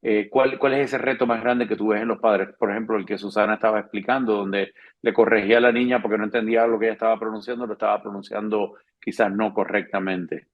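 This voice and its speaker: A man's voice: on the low side, steady tone, quick pace, hardly any pauses. A man hosting an interview, asking long, winding questions with many hesitations and fillers. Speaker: interview host. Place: South Florida. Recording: slightly dull lo-fi sound.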